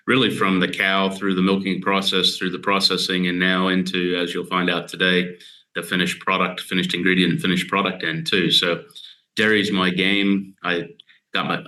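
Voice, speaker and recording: An American man in his fifties, a dairy scientist being interviewed, explaining his work steadily and in detail with frequent pauses. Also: deep voice, sharp tone, low-quality recording. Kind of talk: casual explanatory interview talk.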